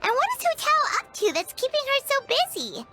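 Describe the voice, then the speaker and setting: A woman voicing a small, excitable, cheeky fairy companion in an animated game, with a squeaky childlike voice. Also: fairly quick, heavily acted. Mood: concerned, curious. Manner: perky, refers to self in third person. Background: prominent background music.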